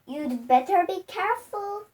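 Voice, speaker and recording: A young girl voicing a spiteful, suspicious old wife from a storybook, slow and threatening. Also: extremely high pitch, animated, a little mellow, voice pushed up. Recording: distant microphone.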